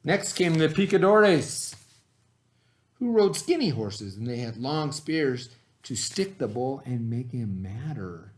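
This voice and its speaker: A man reading aloud and talking to children in simple, expressive phrasing, like a teacher. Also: medium pitch, takes it slowly. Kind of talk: children's story read-aloud, then informal talk to children.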